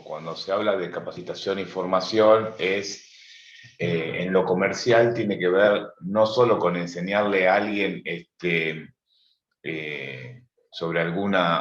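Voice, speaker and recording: A man with an Argentine accent in an informal seminar discussion, speaking haltingly as he searches for words and repeats openings. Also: low voice, fairly bright tone, echoey room, highs cut off. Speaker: business manager sharing experience.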